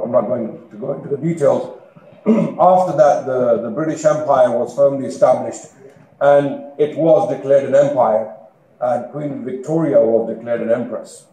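A man whose first language is Urdu, giving a formal lecture in a large auditorium at a podium mic. He speaks in a measured way and lifts his voice on key points. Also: mid-range voice, mellow. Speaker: guest lecturer. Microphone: podium mic, seen from a far camera. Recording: echoey hall, faint room noise.

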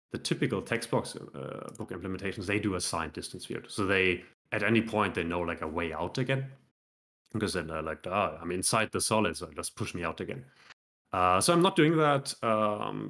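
A man in his thirties gives a relaxed talk to a developer meetup over a video call from home, stopping often to restart sentences and poke fun at his own work. His voice sits low with a gravelly, creaky edge. He comes across easygoing and unpolished, thinking aloud while he runs a live demo. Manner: relaxed, self-deprecating, many fillers and restarts. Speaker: software developer presenting a talk.

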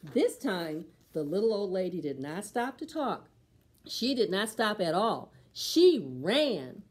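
Slow, animated woman reading to preschoolers. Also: medium pitch, husky. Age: middle-aged. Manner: deliberate, dramatic emphasis on sound words. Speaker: library storytime reader. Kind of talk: expressive preschool picture-book read-aloud.

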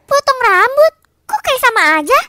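Squeaky, bright-toned nosy teen girl friend, put on by a woman, eager guessing. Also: animated, moderate pace, exaggerated voice. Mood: curious. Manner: high, eager guessing questions.

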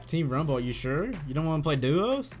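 A man's voice: fairly high pitch, big pitch swings, normal speed, over loud music. Playful young man gaming with a friend. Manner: playful, teasing, hypes teammates. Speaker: gaming streamer. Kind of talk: casual gaming chat.

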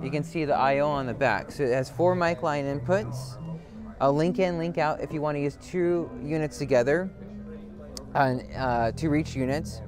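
Higher-pitched man giving a product demo over music. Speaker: product demonstrator for an audio brand. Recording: loud music behind the voice. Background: loud music.

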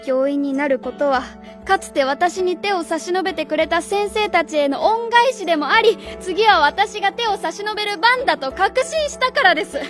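A woman acts out a proud, idealistic female schoolteacher in a radio drama. Her voice is very high, and her manner is formal, earnest and indignant. Faint music plays behind her. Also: expressive, normal speed. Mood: insistent.

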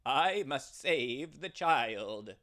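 A man's voice: on the high side, expressive, fairly bright tone, voice pushed up. A man voicing a brave, determined male ram who speaks earnestly and urgently. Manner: earnest, urgent, slow and emphatic.

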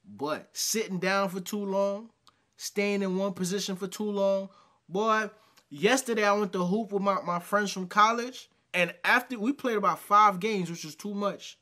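A man's voice: sits high, a little slow, sharp tone. A man in his thirties giving casual, emphatic reaction commentary, repeating phrases for emphasis. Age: 30s. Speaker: reaction video host. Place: small dim indoor room.

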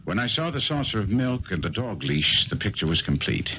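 A man playing an assured, grave, analytical gentleman detective, explaining with authority in a radio drama. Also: lowish voice, big pitch swings, fairly quick. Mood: assured, explanatory. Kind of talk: 1970s radio drama acting. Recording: dull, noisy 1970s radio recording.

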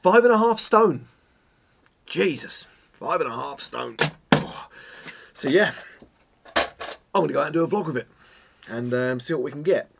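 A man's voice: a little high, expressive, measured pace, creaky, pauses often. Chatty man, faint room noise. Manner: chatty, self-mocking, jokey asides. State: slightly hoarse from a cold. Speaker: photography vlogger. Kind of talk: casual chat to camera. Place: home studio room, indoors.

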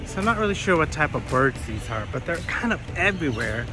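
A man in his thirties talks in a slightly raised pitch, chatting easily as he walks city streets and parks. His phone is held at arm's length, picking up his voice in the open air. Loud music plays underneath him the whole time. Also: sing-song, fast. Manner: chatty, easygoing commentary while walking. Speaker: travel vlogger. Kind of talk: casual walking-tour vlog.

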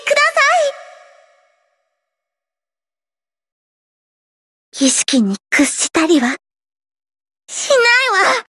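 A woman voicing a teenage gothic idol girl in a Japanese game, grandiose and sweetly shy, switching between pompous declarations and soft plain talk. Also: high-pitched, huge pitch swings, unhurried, dry recording, heavily acted. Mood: defiant, grandiose.

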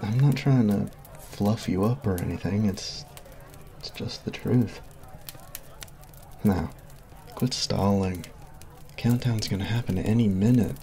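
A sweet, bashful college jock. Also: male voice, lowish voice, unhurried, pauses often, mellow, slight background noise. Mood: teasing. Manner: earnest, flustered, apologetic. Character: sweet goofy male college jock, best friend. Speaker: voice actor. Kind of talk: ASMR roleplay. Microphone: close binaural mic.

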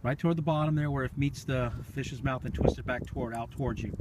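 A man's voice: medium pitch, steady tone, fast talker. Middle-aged male fishing host, casual and encouraging play-by-play, heavy outdoor noise on camera mic. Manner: repeats short phrases, encouraging. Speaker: fishing vlog host filming. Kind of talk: casual running commentary while fishing. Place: outdoors on a grassy lake shore. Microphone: handheld camera mic. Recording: very noisy windy outdoor camera audio.

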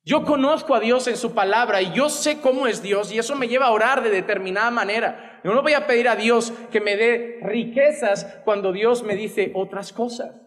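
A man's voice: extremely high pitch, moderate pace, hardly any pauses, a bit bright, long reverb tail. A man in his thirties with a Spanish accent from Spain, preaching a sermon from a pulpit with passion. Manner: impassioned preaching with wide arm gestures. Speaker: pastor. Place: church stage behind a wooden pulpit. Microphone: pulpit gooseneck mic.

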